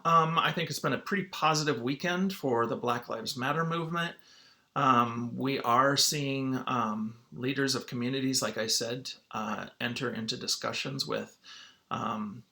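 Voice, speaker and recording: A man's voice: mid-range voice, gravelly, bright, forceful tone. A man speaking as a teacher to his students, in an informal read-aloud lesson style.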